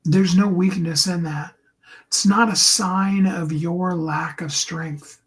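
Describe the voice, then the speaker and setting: A man with a higher tenor voice giving an earnest, encouraging motivational talk to his viewers, often slowing down and dropping into a soft whisper. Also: fairly even intonation. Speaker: host and coach.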